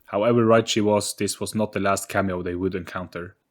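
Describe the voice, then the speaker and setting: Low-pitched man narrating an audio story. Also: fairly even intonation, a little fast.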